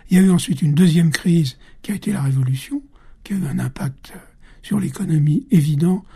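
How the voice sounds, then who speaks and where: A man answering as an expert guest in a radio interview, explaining in a measured way with occasional fillers. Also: on the high side, warm tone, slightly rough.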